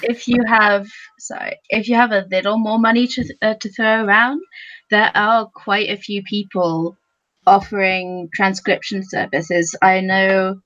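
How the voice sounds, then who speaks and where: Woman, mid-pitched voice, dull lo-fi recording, casual podcast panelist, conversational. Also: steady tone, measured pace, fairly bright tone.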